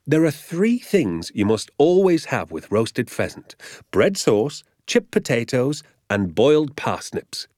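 Storybook dad, played by a man, giddy with triumph and boastful, emphatic, relishing every word, wildly varied pitch. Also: sing-song, slow, a little mellow, no echo, clean studio sound. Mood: grandly emphatic. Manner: grandly emphatic, stretches words with relish.

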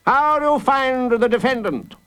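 Storybook old judge: pompous, easily outraged growler, pitched very high, swooping delivery. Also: male voice, expressive, moderate pace, pushed and bright, full character voice, poor audio. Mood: gloating, severe. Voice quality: growling, gruff. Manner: pompous, stammering with indignation.

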